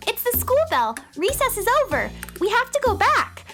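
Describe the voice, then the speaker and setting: A woman's voice: extremely high pitch, big pitch swings, normal speed, loud music behind the voice. A woman voicing a cheerful, friendly young space schoolgirl in a children's audio drama, polite and reassuring. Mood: upbeat, reassuring.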